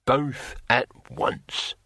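A man voicing a gruff, blunt barbarian hero in a fantasy audiobook, with a growling manner. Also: drawn-out words, sharp tone, dry recording. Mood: challenging.

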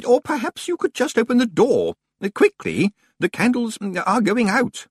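A man puts on a fussy male senior librarian for a storybook. The voice sits high and wobbles widely in pitch. He is timid and formal, stammering politely as he goes. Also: wildly swooping pitch, moderate pace, slightly rough, dry recording, clean studio sound, full character voice. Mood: hesitant, anxious.

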